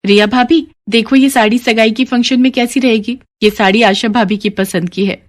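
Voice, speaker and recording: A woman plays an eager young bride in an animated moral story. She sounds girlish and gushing, pitched up, and is easily swayed. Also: normal speed, close to an everyday voice.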